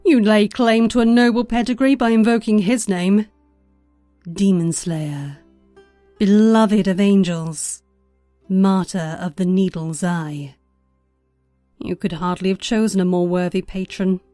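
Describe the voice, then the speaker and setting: A woman playing a loyal, earnest knight in a fantasy audio drama, with deliberate, formal phrasing. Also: medium pitch, fairly even intonation, warm tone, no echo, soft background music, close to an everyday voice. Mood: awed, solemn. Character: loyal, earnest female knight, devout and measured.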